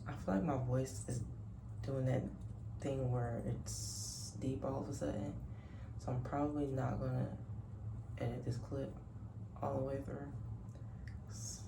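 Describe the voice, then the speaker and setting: A sleepy woman with a deep voice, chatting to her camera at home in a rambling, reflective way. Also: flat delivery, measured pace, gravelly, pauses often, noisy background. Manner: reflective, rambling, soft-spoken. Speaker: vlogger. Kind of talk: casual chat to camera. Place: top-floor apartment at home. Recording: echoey room.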